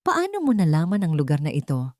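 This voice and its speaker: A woman's voice: deep voice, huge pitch swings, fairly quick, darkish tone, dry recording, clean studio sound. A woman voicing a wary, suspicious man in a story, terse and cold in his questioning.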